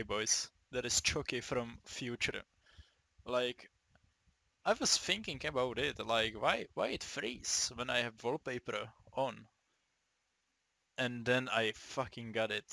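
A young man from the Czech Republic with a Czech accent, giving a casual, halting gaming tutorial, swearing often and restarting his sentences. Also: mid-range voice, pushed and bright, no echo. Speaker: gaming video maker.

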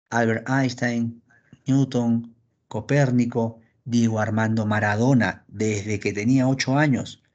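A husky-voiced man teaches philosophy to his students over a video call. He keeps it lively and acts out their questions as he goes. The sound is dull and lo-fi. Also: medium pitch. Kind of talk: online class lecture.

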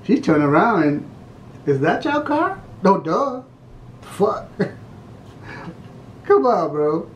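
A man's voice: sits high, sing-song, slow, long pauses, echoey room, highs cut off, slight background noise. A young man hosting a video reaction channel, talking in casual slang with laughing asides. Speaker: YouTube reaction host. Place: indoor room at a glass table.

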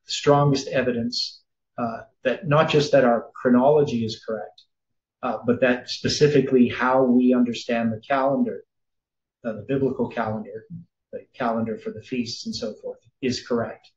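A man in his sixties leading a sermon-style Bible study, explaining slowly and thinking aloud, with frequent self-corrections. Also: mid-range voice, fairly even intonation, darkish tone. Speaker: preacher. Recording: roomy, slightly dull sound.

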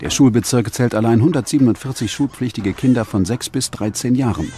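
An actor playing an eager, calculating 19th-century factory owner, reading out a letter in a businesslike way for a documentary. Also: male voice, mid-range voice, fairly quick, mellow, soft background music, lightly acted.

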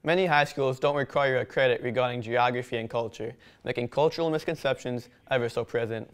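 A man's voice: mid-range voice, a little fast, smooth. A teenage boy anchoring a school newscast in an earnest, instructive tone. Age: teens. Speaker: student news anchor. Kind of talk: scripted news anchoring.

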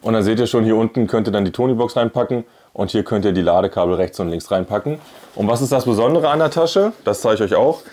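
Guy in his 30s, kinda low voice, chatting casually thru a product review. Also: animated, fast talker, a little mellow, a little hiss or hum. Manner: chatty, hands-on demonstrating. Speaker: YouTube host, product reviewer. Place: small indoor room with plain wall. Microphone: clip-on lavalier on shirt.

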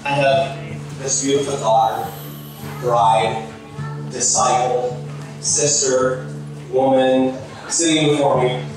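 A man's voice: mid-range voice, flat delivery, slow pace, long pauses. Middle-aged man, father-of-the-bride speech, distant miking, music behind. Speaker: father of the bride giving a wedding toast. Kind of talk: heartfelt, humorous wedding speech. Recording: echoey distant PA with music over it.

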